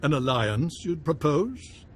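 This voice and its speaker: Courtly, lively-pitched noble baron. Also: male voice, slow, a little hiss or hum. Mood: gracious, formal. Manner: formal, courtly phrasing. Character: courtly male noble baron, gracious lord of the house. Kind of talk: video game voice acting.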